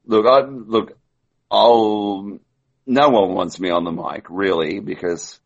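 A man's voice: lowish voice, steady tone, slow pace, gravelly, highs cut off. A man who has had a few glasses of wine, rambling loosely and laughing as a guest on a call-in show from his balcony. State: tipsy from wine. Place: on a balcony at home.

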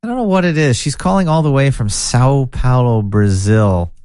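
A man's voice: mid-range voice, expressive, normal speed, darkish tone, clear, resonant tone. A man hosting a podcast with callers, chatting casually, joking and reading posts aloud from his screen. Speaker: podcast host. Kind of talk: casual call-in chat.

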